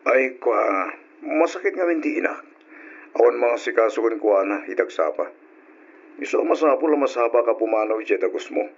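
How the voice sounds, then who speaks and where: A man's voice: medium pitch, animated, normal speed, husky. An actor playing an evasive two-timing husband caught out in an Ilocano radio drama, stammering and stalling as he talks. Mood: evasive, nervous. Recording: dull old radio drama recording.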